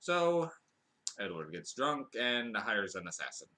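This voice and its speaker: Lively, bright-voiced man rambling through a review. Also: on the low side, a little slow. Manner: rambling, with frequent fillers and restarts; dry, wry asides. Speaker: podcast host reviewing a film. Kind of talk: casual spoken review.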